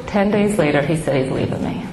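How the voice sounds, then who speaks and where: A middle-aged woman with a small, soft voice sharing her own story of grief with an audience, halting and heartfelt with many pauses. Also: on the low side, crowd noise. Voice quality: soft, small voice she calls baby-like. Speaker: speaker at a grief support gathering. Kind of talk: personal testimony to an audience. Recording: echoey room with background noise.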